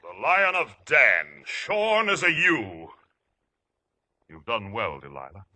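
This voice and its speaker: A man's voice: medium pitch, wildly swooping pitch, slow pace, bright, forceful tone, heavily acted. A man playing a cruel, gloating military commander in a 1950s radio drama, commanding, menacing and theatrical. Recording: noisy old radio recording with clicks.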